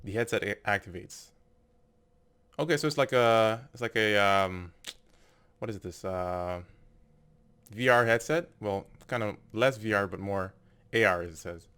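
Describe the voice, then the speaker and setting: Musing man with a lowish voice. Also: measured pace, fairly bright tone, smooth. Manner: musing, tentative. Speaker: gameplay video narrator. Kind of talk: casual game commentary and reading on-screen text. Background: game music.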